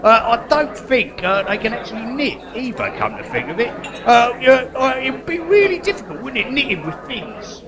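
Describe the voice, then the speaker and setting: A man's voice: extremely high pitch, wildly swooping pitch, normal speed, few pauses, a crowd in the background, exaggerated voice. A man acting an earnest, fretful guerilla artist prisoner in a live stage comedy, stammering and coaxing as he talks. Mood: hesitant, puzzled. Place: live performance venue.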